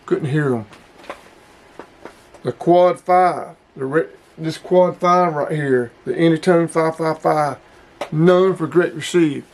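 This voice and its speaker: A middle-aged man with a folksy, plain-spoken manner, hosting a casual hobby review vlog. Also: a little high. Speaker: hobbyist video host.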